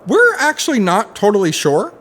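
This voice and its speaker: A man's voice: high-pitched, wildly swooping pitch, normal speed, a bit bright, exaggerated voice. Man playing a campy, sardonic undead avenger. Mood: wry. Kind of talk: audio play acting.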